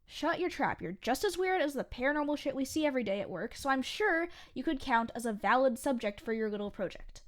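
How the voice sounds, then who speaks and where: A woman's voice: sits high, animated, a little fast, hardly any pauses. A young woman playing a sarcastic, grumbling eighteen-year-old waitress in a fiction podcast, snippy and sardonic. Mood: irritated. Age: young adult. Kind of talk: audio fiction podcast acting, conversational.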